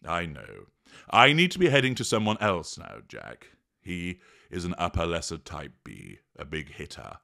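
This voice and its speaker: Man playing Death, ancient male grim reaper, very deep, gravelly, formal, dry, exasperated. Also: huge pitch swings, bright, forceful tone, exaggerated voice. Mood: solemn. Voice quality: formal, measured, drily exasperated.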